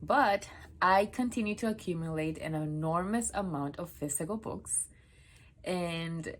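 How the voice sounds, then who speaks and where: Low-voiced chatty woman from Puerto Rico. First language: Spanish. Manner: rambling, self-correcting, chatty. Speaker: book vlogger. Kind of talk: casual talk to camera. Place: home office, sitting on the floor.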